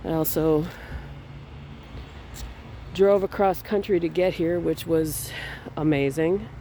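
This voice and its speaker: A man's voice: on the high side, slow pace, a little hiss or hum. Stuffy-nosed man rambling outdoors. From Minnesota. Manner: relaxed, rambling, with small laughs and restarts. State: stuffy from a cold. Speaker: artist vlogger. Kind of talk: casual walking vlog. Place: outdoors in a cemetery on a fall day.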